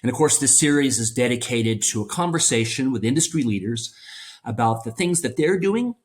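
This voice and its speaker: A man's voice: mid-range voice, expressive, fairly quick. Male interview host, friendly and welcoming, mid-range voice, loose conversational delivery. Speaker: podcast host.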